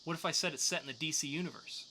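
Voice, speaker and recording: A man's voice: on the high side, rapid-fire, bright, forceful tone, a little hiss or hum. A man co-hosting a podcast, talking through news and reviews in a casual, joking way. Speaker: podcast co-host. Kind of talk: news segment and comics review.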